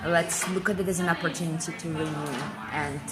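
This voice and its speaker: Low-voiced emphatic woman from Kazakhstan. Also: fairly even intonation, brisk, loud music behind the voice. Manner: fluent and emphatic, repeats phrases for effect. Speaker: interview guest, business speaker. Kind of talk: thoughtful interview answers.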